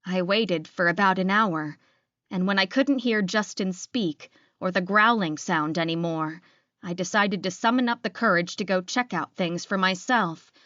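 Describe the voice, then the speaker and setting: A woman's voice: lowish voice, normal speed, a bit bright, no echo, muffled recording. A woman reading first-person fiction as a scripted audiobook narration.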